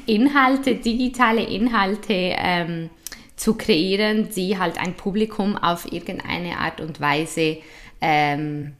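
A woman from Switzerland with a Swiss German accent, chatting as an interview guest, laughing often and filling pauses with "ähm". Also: lowish voice. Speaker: guest, influencer. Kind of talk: casual interview chat.

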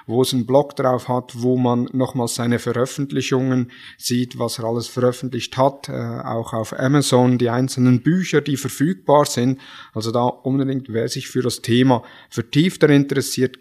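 A man's voice: mid-range voice, darkish tone. Swiss German-accented man, podcast host, friendly and hesitant. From Switzerland. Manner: friendly, with frequent hesitation fillers.

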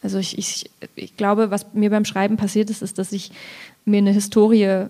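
A woman's voice: mid-range voice, completely flat delivery, moderate pace, mellow. A woman speaking as an author at a literary event, reflecting aloud in conversation about her writing. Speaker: author, guest at a reading event. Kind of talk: conversational literary discussion with passages read aloud.

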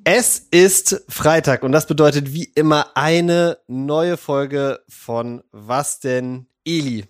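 A man with a cold who hosts a podcast, introducing the episode and asking relaxed follow-up questions. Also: a little high, takes it slowly, hardly any pauses, clear, resonant tone, dry recording, studio quality, soft background music. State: has a cold. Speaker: podcast host. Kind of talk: casual podcast chat.

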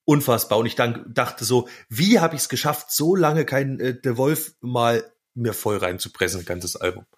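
A man's voice: mid-range voice, lively intonation, normal speed, few pauses, slightly rough. A man co-hosting a podcast, telling personal stories casually in colloquial, slangy speech. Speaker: podcast co-host. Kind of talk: casual chat, personal storytelling.

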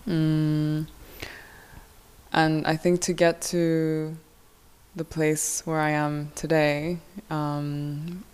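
A young woman from South Korea speaks slowly and unhurriedly in a low, calm voice. She is a podcast guest, a yoga teacher, and she pauses often on fillers. Now and then she gives a soft laugh between her thoughts. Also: clear, resonant tone. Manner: slow, hesitant, many fillers and soft laughs. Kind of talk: casual podcast interview.

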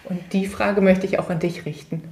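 A woman hosting a podcast interview, who laughs often and asks her questions in a lively, rambling way. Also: on the low side, a little fast, echoey room. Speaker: podcast host.

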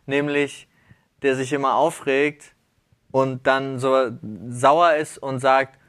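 A man's voice: mid-range voice, flat delivery, slow, fairly bright tone, clean tone. A man co-hosting a casual podcast chat, matter-of-fact and direct. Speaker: podcast co-host.

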